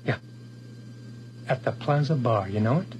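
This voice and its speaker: A man's voice: mid-range voice, lively intonation, moderate pace, darkish tone, highs cut off, slight background noise, lightly acted. An actor playing a bewildered, paranoid man in a radio horror drama, intense and suspicious. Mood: cold suspicion. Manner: muttering to himself, intense, suspicious. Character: bewildered, paranoid man doubting his own identity.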